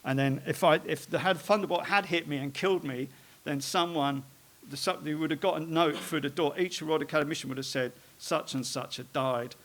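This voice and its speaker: A man, an artist, talking informally about his own work, halting often with fillers and restarts as he rambles through it. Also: a little high, fairly bright tone.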